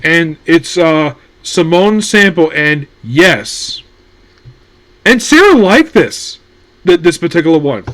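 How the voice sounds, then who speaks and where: A man's voice: fairly high pitch, very animated, takes it slowly, a bit bright. A man hosting a casual solo anime news talk, rambling with many fillers and restarts.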